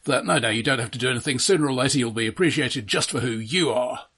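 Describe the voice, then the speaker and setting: A man co-hosting a casual film discussion, giving his opinions in a deliberate, analytical way. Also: mid-range voice, fairly bright tone, husky. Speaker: podcast co-host.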